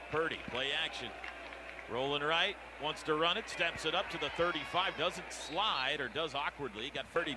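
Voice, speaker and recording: An American man calling live football play-by-play on the radio in clipped, urgent phrases. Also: fairly high pitch. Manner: clipped, urgent running description. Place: outdoor football stadium. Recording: stadium radio broadcast, clearly noisy background. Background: steady stadium noise.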